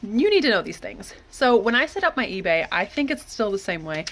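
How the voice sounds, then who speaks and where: A woman's voice: medium pitch, very animated, a little fast, a bit bright. A woman with a slightly nasal head cold, chatting casually as a reseller vlogger giving straight-to-the-point tips. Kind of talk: casual how-to vlog.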